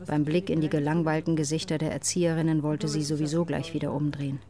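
A woman from Russia narrating a documentary in calm, even storytelling. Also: low voice, quick pace, a crowd in the background.

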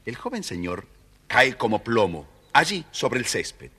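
A man narrating a children's story from a script in an expressive, dramatic storytelling manner. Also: medium pitch, unhurried, slightly rough.

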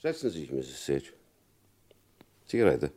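An actor playing a stern, shrewd male FBI agent in a radio crime drama, speaking in a calm, probing, knowing way. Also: rumbling low, unhurried, exaggerated voice. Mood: coaxing.

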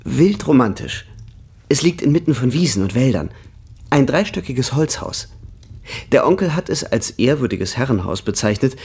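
An actor playing a charming, worldly young European gentleman in a radio drama, polite, effusive and playfully theatrical. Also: mid-range voice, big pitch swings, normal speed, husky, exaggerated voice. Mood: elated.

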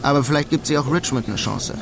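An actor playing a wry, resigned immigrant who narrates a radio drama in a dry, laconic way. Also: male voice, mid-range voice, quick pace, creaky, a crowd in the background, close to an everyday voice. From Germany. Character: wry, resigned German immigrant man in New York. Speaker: first-person narrator.

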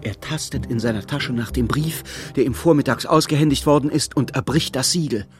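An actor narrating a literary radio play as a wry storyteller, telling events vividly in the present tense. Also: male voice, medium pitch, fairly quick, faint music behind, lightly acted. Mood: dramatic, urgent. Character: wry, measured male storyteller of a literary tale. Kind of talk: scripted dramatic narration in a German radio play.

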